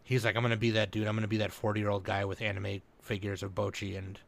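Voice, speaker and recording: A man's voice: fairly low pitch, monotone, fast talker, vocal fry. A man hosting a podcast in casual chat, talking in profane, bro-heavy slang. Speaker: podcast host.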